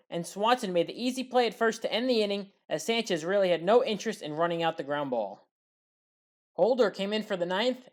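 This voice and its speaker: A woman narrating baseball highlights as a voice-over, recapping the plays briskly and adding her own opinions as a fan. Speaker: sports highlights commentator. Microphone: voice-over recorded separately.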